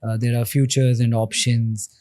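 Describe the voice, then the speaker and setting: A man in his thirties with an Indian accent, a podcast guest explaining things in a measured way with frequent pauses. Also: mid-range voice, flat delivery, darkish tone, dry recording. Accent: Indian English. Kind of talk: podcast interview.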